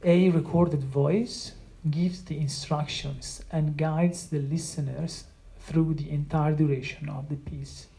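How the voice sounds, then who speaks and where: A sound artist, not a native English speaker, explaining their own piece with many pauses and restarts. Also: mid-range voice, gravelly, dark tone. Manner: hesitant, many restarts and fillers. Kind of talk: explaining own work in a conversation.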